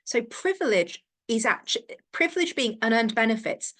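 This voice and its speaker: A middle-aged woman with an English accent and no strong regional accent, leading a counselling training workshop and talking thoughtfully, often pausing to search for her words and restarting her sentences. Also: a little high, very animated, fairly bright tone. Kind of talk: live training workshop talk.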